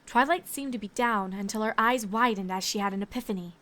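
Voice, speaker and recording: A woman's voice: medium pitch, a little fast, a bit bright. A woman reading scripted story narration as the narrator.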